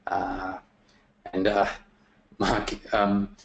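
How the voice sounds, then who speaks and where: A man presenting software features in a webinar, talking casually with many fillers, his voice getting worn and losing strength. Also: fairly low pitch, monotone, drawn-out words, a little hiss or hum. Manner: casual, many fillers and restarts, throat clearing.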